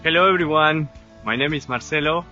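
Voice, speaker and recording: A man in his thirties from Argentina, a surf instructor talking outdoors by the sea, halting and repeating words. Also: on the high side, pushed and bright. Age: 30s. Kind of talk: informal video talk. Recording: dull lo-fi sound with music.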